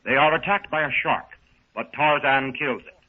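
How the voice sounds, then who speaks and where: A man's voice: a little high, normal speed. Dramatic male announcer on thin old radio. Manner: formal, dramatic. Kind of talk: 1930s radio serial announcing, scripted. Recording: thin, dull 1930s radio recording.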